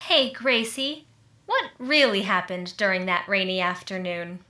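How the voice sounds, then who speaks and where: A woman's voice: medium pitch, unhurried, sharp tone. A woman playing a nosy, helpful friend with a coaxing manner in a re-created 1940s radio comedy. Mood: eager, curious. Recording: roomy, echoey stage-like sound.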